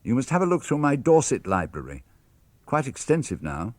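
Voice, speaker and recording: A man reading a father's letters aloud for a radio broadcast, in a measured, expressive manner with crisp phrasing. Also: medium pitch, dry recording. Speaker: reader of letters.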